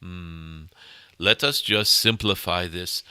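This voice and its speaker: A man voicing a benevolent, wise cosmic dragon who gives loving spiritual guidance in halting, ceremonious phrases punctuated by hums. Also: low voice, pushed and bright, clean tone, dry recording, full character voice. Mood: gentle, guiding. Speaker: channeler giving spiritual guidance. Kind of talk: channeled spiritual message to an audience.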